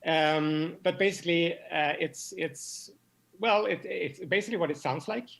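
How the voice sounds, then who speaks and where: A man explaining science as an interview guest, halting and often repeating words as he goes. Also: a little high, vocal fry, a bit bright. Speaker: astronomy professor, interview guest.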